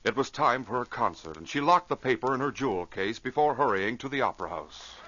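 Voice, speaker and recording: A man's voice: mid-range voice, expressive, fairly bright tone, muffled recording. Dramatic male radio narrator, noisy vintage recording. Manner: dramatic, measured storytelling. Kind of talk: dramatic scripted old-time radio narration.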